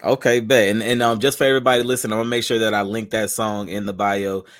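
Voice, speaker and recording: Podcast host, male, lowish voice, loose slangy delivery, dry close mic. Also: little pitch movement, quick pace, fairly bright tone, no room sound. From Charlotte, North Carolina. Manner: laid-back, slangy, trails off into restarts. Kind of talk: casual interview chat.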